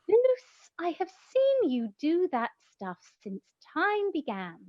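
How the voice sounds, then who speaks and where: A woman acts out a theatrical goddess of love for a comic radio play. The voice sits high and swoops widely as it mocks and teases with drawn-out emphasis. The sound is dry and a little dull. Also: wildly swooping pitch, no echo, full character voice. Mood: teasing. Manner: mocking, drawn-out emphatic delivery.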